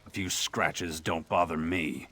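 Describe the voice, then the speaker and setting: An actor playing an arrogant, gruff male orc hunter in a video game, boastful and challenging. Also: low voice, steady tone, measured pace, fairly bright tone, heavily acted. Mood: scornful, challenging. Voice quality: gruff, raspy. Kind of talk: video game character acting.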